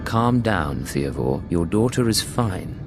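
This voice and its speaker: Music plays behind a composed intelligence agent in a game. His voice is fairly low and moves expressively in pitch. He is controlled and firm as he soothes. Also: sing-song, slow, heavily acted. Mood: calm, placating. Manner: controlled, placating. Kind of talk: dramatic video game acting.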